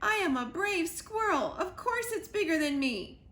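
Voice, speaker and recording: A woman voicing a panicked, boastful male squirrel who shrieks in a high, breathless voice. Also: animated, measured pace, echoey room, heavily acted. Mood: grumpy. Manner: high shrill shouting, breathless.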